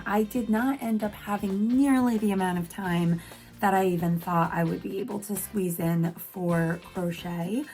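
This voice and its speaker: A woman's voice: medium pitch, darkish tone. A woman chatting casually in a home craft vlog, talking while she crochets, her voice worn from losing it. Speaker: crochet maker and small business vlogger. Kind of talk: casual rambling vlog chat.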